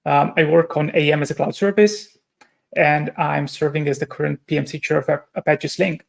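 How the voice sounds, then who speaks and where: A man's voice: a little high, fairly even intonation, moderate pace, gravelly. A man from Romania, giving a technical conference presentation and explaining step by step with frequent hesitations and self-corrections. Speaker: conference speaker.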